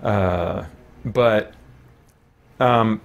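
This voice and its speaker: A man in his forties giving casual livestream game commentary, halting as he thinks aloud. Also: lowish voice, vocal fry. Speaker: video game streamer and podcast host. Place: small room at home, indoors.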